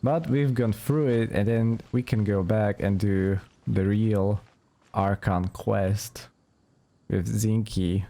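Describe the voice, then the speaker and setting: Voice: low voice, fairly even intonation, takes it slowly, warm tone. A gamer giving casual let's play commentary with dry, teasing asides.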